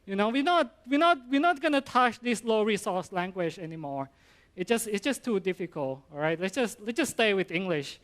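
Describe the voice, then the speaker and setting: Halting Thai-accented man giving a talk. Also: sits high, animated, fairly bright tone. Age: middle-aged. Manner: halting, many fillers and restarts. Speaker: university professor giving a talk. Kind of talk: informal conference talk.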